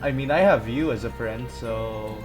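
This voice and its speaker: A woman's voice: very deep voice, normal speed, music in the background. A woman hosting a gameplay video, reacting casually to the game as she goes.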